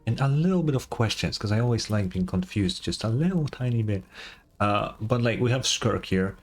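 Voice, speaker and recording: A man doing casual reaction commentary as a game streamer, thinking aloud haltingly and swearing casually. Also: on the low side, expressive.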